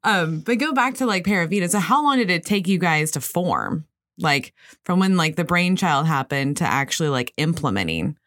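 A woman co-hosting a podcast who speaks in a calm, soothing way and asks the guest questions. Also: lowish voice, normal speed. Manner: calm, soothing, lots of brief agreement. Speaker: podcast co-host. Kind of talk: casual podcast interview.

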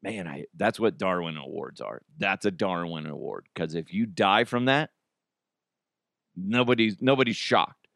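A man hosting a comedy podcast, his voice raspy and hoarse. He rambles through the news, laughing at his own jokes. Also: low voice, lively intonation, measured pace, fairly bright tone, dry recording, clean studio sound. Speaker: podcast host. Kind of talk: casual comedic commentary.

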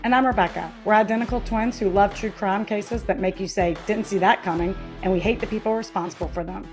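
A woman hosting a true crime podcast delivers a brisk scripted promo. Her pitch is average, and music sits faintly in the background. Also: a little mellow.

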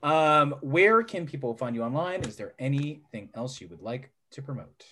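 A man's voice: medium pitch, big pitch swings, normal speed, sharp tone. A man hosting a casual podcast interview, joking along and giving many affirming mm-hmms. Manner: jokey, lots of affirming mm-hmms. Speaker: podcast host.

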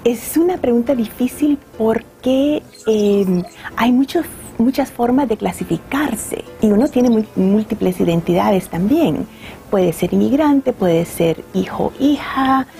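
A woman expert answering interview questions thoughtfully, pausing and restarting as she works out her points. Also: on the high side, lively intonation, vocal fry, mellow, faint music behind.

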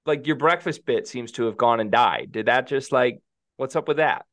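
A man's voice: mid-range voice, normal speed, a bit bright. A man co-hosting a casual sports podcast, teasing his partner and laughing often. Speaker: podcast co-host.

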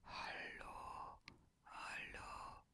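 A woman's voice: very slow, bright, forceful tone. A woman whispering the lines of a father who fakes a wheezing, sinister voice on the phone. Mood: wheezing menace. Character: prank-calling father faking a wheezing voice.

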